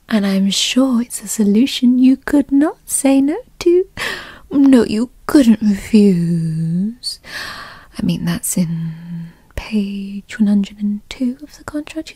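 Breathy, whispering possessive girlfriend, acted by a woman. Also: fairly high pitch, warm tone, no room sound, full character voice. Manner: coaxing, teasing, pet names. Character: doting, possessive, dominant yandere girlfriend, motherly and coaxing. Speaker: ASMR roleplay performer. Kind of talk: whispered ASMR roleplay.

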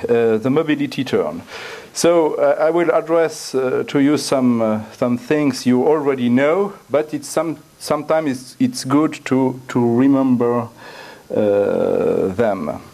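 Middle-aged male, French accent, unhurried academic lecture, hesitant and repetitive phrasing. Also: mid-range voice, a little mellow. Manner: halting, repeats words while searching for phrasing. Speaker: guest lecturer. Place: university lecture room, indoors.

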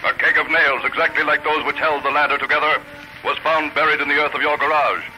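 An actor playing a stern, accusing interrogator who declaims evidence in a 1930s radio news dramatization. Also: male voice, on the high side, little pitch movement, fast, sharp tone. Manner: declamatory, prosecutorial. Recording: noisy old 1930s radio recording.

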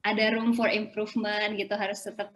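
A woman's voice: medium pitch, flat delivery, brisk, a bit bright. A young woman, a former teacher, chatting relaxedly over a video call and mixing in English phrases. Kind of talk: casual chat.

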